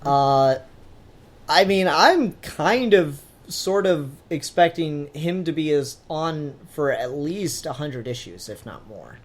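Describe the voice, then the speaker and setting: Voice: mid-range voice, expressive, takes it slowly. A podcast host chatting casually about comic books in a rambling, informal, mildly sweary way. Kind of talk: casual comic book discussion.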